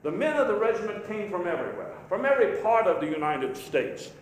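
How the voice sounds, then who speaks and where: An actor playing a vigorous, patriotic elder statesman giving a folksy, emphatic, halting speech in a live historical reenactment. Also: high-pitched, big pitch swings. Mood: proud, emphatic. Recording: echoey live hall, faint background noise.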